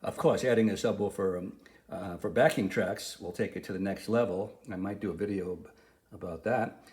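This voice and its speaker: A man's voice: sits low, normal speed, a little mellow. A man giving a casual, conversational product review and demonstration, feeling his way through sentences and correcting himself.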